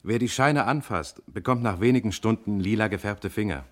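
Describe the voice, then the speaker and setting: A man acting a composed investigator in a radio crime drama. His voice is very low, and he questions in a measured, inquisitive, matter-of-fact way. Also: natural voice. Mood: calm, probing. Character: calm, probing investigator, friend of the family.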